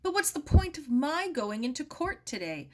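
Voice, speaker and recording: A woman's voice: sits high, big pitch swings, moderate pace, darkish tone, voice pushed up. A woman voicing a grieving, irritable storybook uncle, bitter and emphatic. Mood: anguished, furious.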